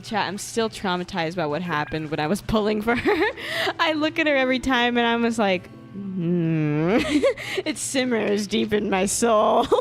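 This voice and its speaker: Female game streamer: playful, giggly, lively intonation, casual commentary over faint music. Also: mid-range voice. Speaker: livestreamer.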